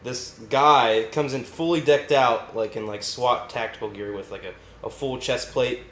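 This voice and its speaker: A man's voice: medium pitch, moderate pace. A young man co-hosting a casual podcast with friends, joking and laughing as he retells a story. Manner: jokey, laughs often, retells stories with animated asides. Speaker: podcast co-host. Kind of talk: casual chat among friends. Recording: echoey room with faint background hiss.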